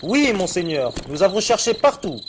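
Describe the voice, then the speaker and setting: A man playing a wary, subdued servant in an animated religious story, respectful and restrained toward his lord. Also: sits high, moderate pace, a little hiss or hum. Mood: deferential, doubtful. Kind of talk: French-language animated religious story dub.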